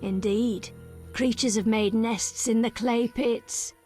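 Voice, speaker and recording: Female village elder, fairly high pitch, grave, cryptic, measured archaic phrasing, video game dialogue, faint music. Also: fairly even intonation, a little mellow. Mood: sombre.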